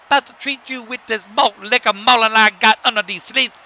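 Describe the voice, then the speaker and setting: A man acts out a brash, cocky grizzly bear pimp from a cartoon wrestling show. The voice sits high and is very bright and forceful, full of swaggering taunts. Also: fairly even intonation, fairly quick, full character voice. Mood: cocky taunting. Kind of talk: cartoon wrestling trash talk.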